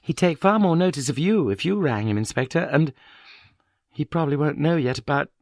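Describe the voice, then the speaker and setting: A man voicing a sharp-tongued, sardonic middle-aged woman academic in an audiobook. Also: on the high side, lively intonation, a little fast, dry recording, clean studio sound, voice pushed up. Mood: dry, wry. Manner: wry, sardonic.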